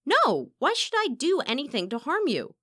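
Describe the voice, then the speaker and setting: A woman's voice: medium pitch, lively intonation, moderate pace, a bit bright, no echo, clean studio sound, close to an everyday voice. A woman voicing an earnest young opera singer in an audiobook, devoted and wounded, pleading and protesting. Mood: hurt protest. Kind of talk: audiobook character acting.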